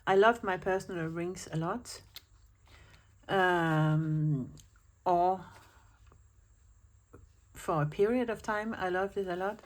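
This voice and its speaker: A woman from Denmark speaks English with a Danish accent, which is not her first language, in a relaxed chat to camera at home. Her voice sits low and her pace is unhurried, and she rambles a little, restarting phrases and filling pauses as she thinks. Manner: chatty, rambling with many fillers and restarts. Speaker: planner vlogger.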